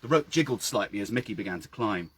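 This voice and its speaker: A man with a husky voice reading his own story aloud outdoors, in a dramatic storytelling style. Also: on the low side, expressive, fast, fairly bright tone. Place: outdoors by a well.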